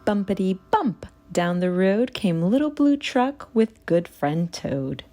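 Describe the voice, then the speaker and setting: A woman narrating a rhyming children's story in an expressive read-aloud style. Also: mid-range voice, slow.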